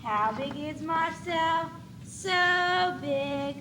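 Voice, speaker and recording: Doting mom, played by a female student, extremely high sing-song baby talk, school stage play on a noisy old tape. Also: extremely slow, heavily acted. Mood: cooing, doting. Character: doting new mother cooing to babies. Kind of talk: stage one-act comedy acting.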